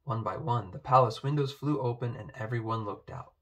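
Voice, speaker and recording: A man reading a children's picture book aloud as narrator, in an expressive way. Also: medium pitch, brisk.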